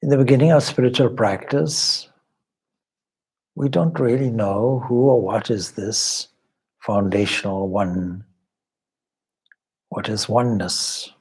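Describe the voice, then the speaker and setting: A man leading a guided meditation as a spiritual teacher, speaking in measured, deliberate short phrases with long pauses and formal, contemplative wording. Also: fairly low pitch, breathy edge, low-quality recording. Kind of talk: guided meditation, slow spoken instruction.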